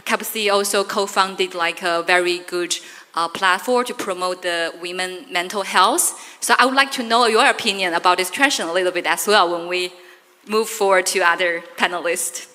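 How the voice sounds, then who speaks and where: Woman moderator, friendly, lots of "like", bright voice, hall echo. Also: fairly low pitch, moderate pace. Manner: friendly, loose phrasing with many "like". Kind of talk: moderating a panel. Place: large conference hall. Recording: reverberant conference hall.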